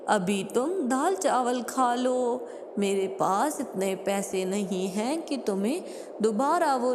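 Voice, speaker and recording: A gentle cartoon mother bird, played by a woman speaks slowly with soothing concern. The voice carries long reverb and clear noise. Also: high voice. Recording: noisy, long hall-like reverb.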